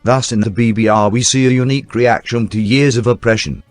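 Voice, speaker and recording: A man reading scripted newsreel documentary narration in a formal, measured announcer style. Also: medium pitch, steady tone, no room sound. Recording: dry voice-over with faint music bed. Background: faint background music.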